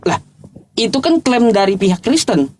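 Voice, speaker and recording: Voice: mid-range voice, very animated, normal speed, noisy background. A debater, speaking informally and insistently, challenging and repeating points in a religious argument. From Indonesia.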